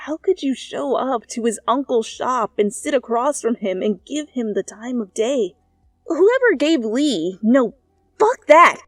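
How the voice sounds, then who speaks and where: A woman's voice: high voice, no echo, pitched above the performer's own voice, exaggerated voice. A woman voicing a flustered, hot-tempered young man who rants in exasperation. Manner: frantic, exasperated ranting.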